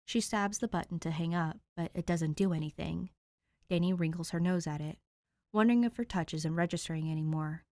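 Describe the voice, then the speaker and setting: A woman reading fan fiction aloud, expressive and chatty, excitable when she talks to her listeners. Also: fairly low pitch, fairly quick, no echo, studio quality. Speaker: fan fiction audiobook reader. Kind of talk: reading fiction aloud, then casual chat.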